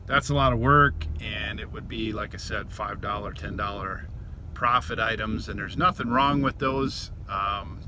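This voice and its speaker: Midwestern man rambling over loud vehicle noise. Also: on the low side, unhurried. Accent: American Midwestern. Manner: mumbling, chatty, offhand. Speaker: vlogger, reseller. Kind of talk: casual rambling vlog talk.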